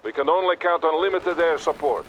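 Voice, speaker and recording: An actor playing a stern, composed Soviet army colonel with a Russian accent, giving measured orders in a military video game. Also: male voice, fairly high pitch, close to an everyday voice, poor audio. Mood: authoritative. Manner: authoritative, measured, commanding. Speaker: military commander character. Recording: battle gunfire noise behind voice. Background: gunfire, artillery and vehicles.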